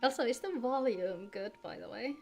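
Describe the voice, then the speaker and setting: A woman's voice: a little high, moderate pace, darkish tone. A woman, chatting casually with viewers as she livestreams a game. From Finland. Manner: chatty, greets viewers by name. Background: in-game music.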